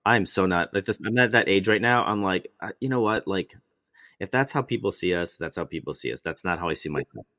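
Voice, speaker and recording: A man's voice: deep voice, expressive, brisk, bright, forceful tone, dry recording, clean studio sound. A male actor telling long, rambling stories in casual chat, often restarting and quoting himself. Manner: rambling, many restarts and quoted asides.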